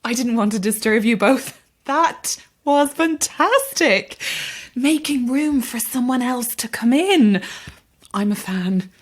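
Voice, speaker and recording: Audio drama: anxious stately-home organiser, earnest, polite, pleading, measured slow pace. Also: female voice, on the high side, expressive. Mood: admiring, hushed. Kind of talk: audio horror-comedy drama acting.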